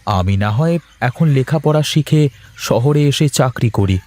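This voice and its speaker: Guy doing a tired worried cartoon husband, medium pitch, grumbly and proud, homely talk. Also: animated, normal speed, warm tone, lightly acted. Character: weary, worried married office worker, proud and plain-spoken. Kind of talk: Bengali cartoon voice acting.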